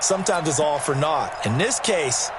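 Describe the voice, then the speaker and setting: A man's voice: a little high, lively intonation, moderate pace, natural voice. A man with an American accent giving emphatic, explanatory color commentary on a football game. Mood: emphatic, approving. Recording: loud crowd and stadium noise. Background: loud crowd noise.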